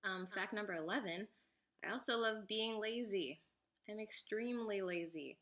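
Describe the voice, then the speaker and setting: A woman's voice: medium pitch, normal speed, fairly bright tone. A young woman whose first language is Igbo, chatting casually to camera with frequent giggles and fillers. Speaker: vlogger.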